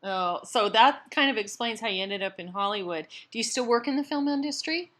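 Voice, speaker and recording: A woman's voice: a little high, animated, fairly quick, fairly bright tone. Woman hosting a conversational interview. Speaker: interview host.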